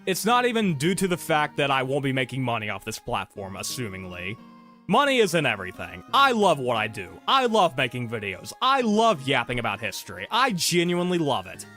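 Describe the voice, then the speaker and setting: A man ranting sarcastically about his frustrations, his voice hoarse from being sick. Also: medium pitch, huge pitch swings, brisk, pushed and bright, soft background music. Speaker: content creator. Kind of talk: ranting commentary video.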